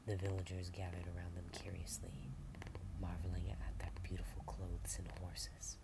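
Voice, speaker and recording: A woman's voice: rumbling low, monotone, normal speed, heavy background noise. Whispering woman reading a storybook. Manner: soft whisper, chatty asides, stumbles over words.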